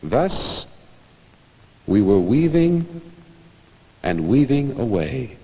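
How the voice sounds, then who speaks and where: A man reads literary prose as if from a stage, declamatory and deliberate. His pace is slow and his intonation moves widely. Long reverb surrounds him, with music behind. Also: medium pitch, sing-song, breathy edge, highs cut off. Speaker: reader. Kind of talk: theatrical literary reading.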